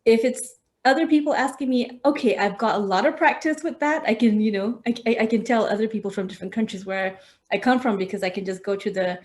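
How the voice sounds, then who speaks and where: A woman's voice: on the high side, fast talker. Reflective Malaysian-born woman host. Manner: reflective, hesitant, laughs often. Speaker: podcast host. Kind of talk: personal conversation.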